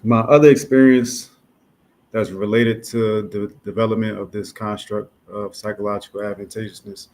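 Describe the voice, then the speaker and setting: Low, flat-voiced man musing. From North New Jersey, USA. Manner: measured, thoughtful, musing aloud with fillers. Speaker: artist explaining his own concept. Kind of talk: reflective spoken monologue.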